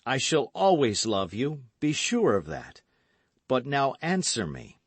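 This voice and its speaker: A man voicing an eager, brash young gentleman in an audiobook, speaking with emphatic, commanding and affectionate delivery. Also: medium pitch, very animated, measured pace, dry recording, voice pushed up. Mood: earnest, insistent. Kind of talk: audiobook character acting.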